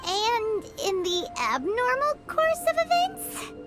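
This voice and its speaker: Bratty tiny floating girl, squeaky and very high, swinging wildly up and down, with game music behind. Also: wildly swooping pitch, slow pace, exaggerated voice. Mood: worried. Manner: shrill, indignant, whiny. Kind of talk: game cutscene voice acting.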